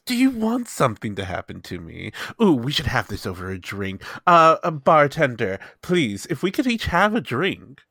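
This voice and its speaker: A man playing a cocky, flirtatious male charmer in a tabletop game, teasing with drawn-out, syrupy endearments. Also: medium pitch, wildly swooping pitch, bright, forceful tone, dry recording, clean studio sound, exaggerated voice. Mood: smug, flirtatious.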